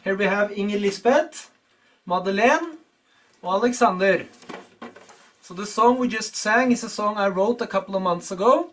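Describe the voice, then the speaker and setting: A man's voice: high voice, normal speed, long pauses, a bit bright. A Norwegian man with a Norwegian accent, a musician introducing a song in English to an online audience. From Norway. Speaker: musician and songwriter.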